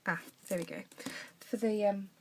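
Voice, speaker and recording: A young woman with a croaky voice from a cough, chatting through a henna craft demonstration at her desk at home. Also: medium pitch, fairly even intonation, moderate pace, a little hiss or hum. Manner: chatty, talks while drawing, drops to soft mutters. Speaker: craft tutorial maker.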